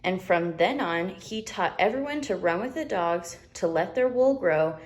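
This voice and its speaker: A woman reading a children's storybook aloud in an expressive narrating style. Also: on the low side, measured pace. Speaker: storybook reader.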